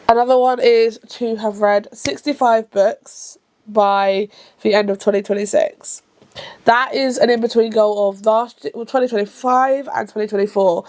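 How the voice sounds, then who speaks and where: A chatty woman of about thirty, talking casually to the camera as a book vlogger. She rambles and often stops to correct herself mid-sentence, sometimes rushing her words. Her voice sits at an ordinary middle pitch. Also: darkish tone. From England. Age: around thirty. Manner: rushed at times.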